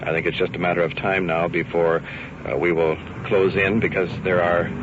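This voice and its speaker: A man's voice: low voice, flat delivery, normal speed, muffled recording, noisy background. A man reporting live as a radio host, narrating off the cuff from a police ride-along on an old field recording. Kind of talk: unscripted on-scene radio narration. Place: outdoors and in a police car. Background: vehicles and police radio.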